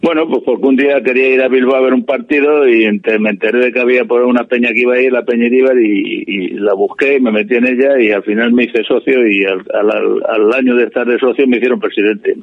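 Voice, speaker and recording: A man's voice: mid-range voice, steady tone, fast talker, hardly any pauses, no echo, phone-quality sound. A man, a supporters' club president talking bluntly and plainly in a radio interview. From Madrid, Spain. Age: 80s. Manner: blunt, plain-spoken, opinionated.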